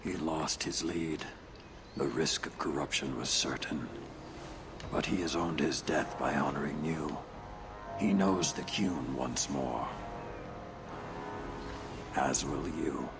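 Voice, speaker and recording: Very deep, gravelly stern warrior commander. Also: male voice, over music, heavily acted. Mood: cold, solemn. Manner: formal, measured, commanding. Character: stern horned warrior commander, cold, rigid, solemnly menacing. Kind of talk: video game voice acting.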